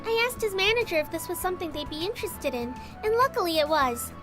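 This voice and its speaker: A woman's voice: very high voice, big pitch swings, quick pace. A woman voicing a sweet, modest, helpful teenage girl in a visual novel game. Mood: earnest, helpful. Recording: music playing behind the voice.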